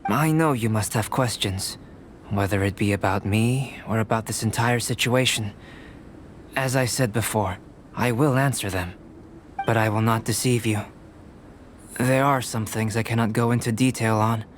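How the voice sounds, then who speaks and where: An actor playing a reserved, scholarly young male alchemist in a video game, speaking in formal, measured, deliberate phrases. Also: lowish voice, slight background noise, close to an everyday voice. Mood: calm, measured. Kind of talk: scripted video game dialogue.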